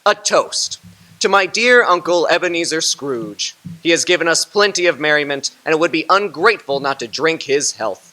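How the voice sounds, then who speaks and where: An actor playing Fred, Scrooge's cheerful young nephew, in a radio drama, hearty and laughing. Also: male voice, a little high, expressive, moderate pace, sharp tone, low-quality recording. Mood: jovial.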